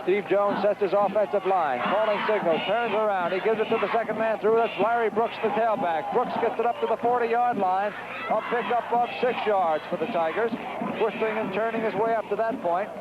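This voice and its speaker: An American man doing live radio play-by-play of high school football, describing the action vividly in short, clipped phrases. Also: steady tone, moderate pace. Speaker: play-by-play announcer. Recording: dull, noisy 1970s radio broadcast audio.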